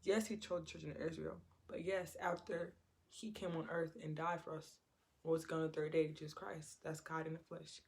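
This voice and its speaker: A woman's voice: low-pitched, flat delivery, brisk, creaky. A woman giving an informal Bible teaching in a conversational way, repeating her phrases and acting out quotes. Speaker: preacher.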